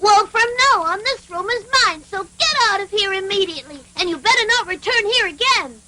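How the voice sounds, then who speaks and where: A woman's voice: very high-pitched, expressive, a little fast, sharp tone, slight background noise, exaggerated voice, rough recording. A woman voicing a defiant little wooden puppet boy in a cartoon dub, bratty and bossy. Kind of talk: animated cartoon dub acting.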